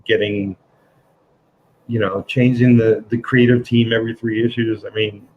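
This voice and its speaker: A man's voice: fairly low pitch, level tone, moderate pace, lo-fi sound, slight background noise. A man with a New York accent hosting a casual comics livestream, rambling with frequent restarts. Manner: rambling, restarts often, laughs between remarks. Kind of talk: casual chat.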